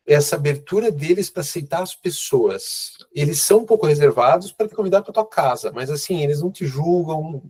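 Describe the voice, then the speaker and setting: A man with a Brazilian accent hosting a casual conversation, speaking informally with halting, repeated phrasing. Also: on the high side, expressive, a little mellow, husky. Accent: Brazilian Portuguese. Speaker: host, immigration consultant.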